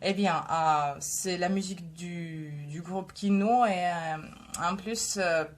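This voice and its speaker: A young woman whose first language is Russian talks on camera with a head cold. She speaks slowly, with a somewhat low voice and a lot of hesitation. She breaks off often with filler sounds. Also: a little hiss or hum. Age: 20s. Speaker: vlogger. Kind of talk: casual video talk.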